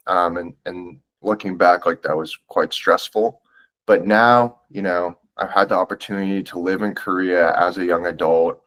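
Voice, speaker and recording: A man's voice: low-pitched, a bit bright. A man in his twenties from the United States talks as a podcast guest, earnest and reflective, with a loose, filler-heavy way of speaking. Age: 20s. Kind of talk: casual interview chat.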